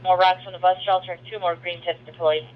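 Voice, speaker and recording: A man's voice: high-pitched, steady tone, quick pace. A man working as a police dispatcher, repeating reports back in a clipped, procedural way over radio. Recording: noisy, muffled police radio channel.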